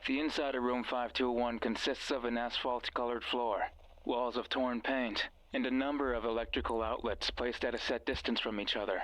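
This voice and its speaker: A man's voice: mid-range voice, steady tone, normal speed, a bit bright, no room sound, close to an everyday voice, rough recording. An actor playing a manipulative, obsessive man's voice from a radio in a horror game, speaking with intimate, insistent, theatrical intensity. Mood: ominous narration. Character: manipulative, pleading male voice from a radio, obsessive and sinister. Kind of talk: dramatic horror game voice acting.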